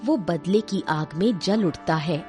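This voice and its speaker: A woman's voice: lowish voice, a little slow, mellow, soft background music. A woman delivering scripted narration for a story as its narrator.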